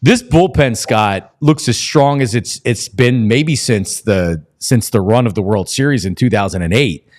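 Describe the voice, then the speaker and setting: A man's voice: lowish voice, measured pace, hardly any pauses, a little mellow. A man hosting a casual sports talk show, conversational and opinionated as he interviews a guest.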